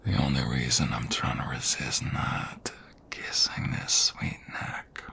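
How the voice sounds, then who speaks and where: A man playing a protective, affectionate mobster fiancé in an intimate ASMR roleplay, with a husky, breathy voice that often drops toward a whisper. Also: very deep voice, steady tone, sharp tone, exaggerated voice. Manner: affectionate, teasing, slow and murmuring. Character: protective, tender mobster fiancé soothing his beloved.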